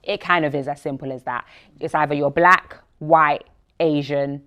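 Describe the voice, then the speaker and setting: A woman interviewing guests with measured, pointed questions. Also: deep voice, steady tone, a bit bright, clean tone.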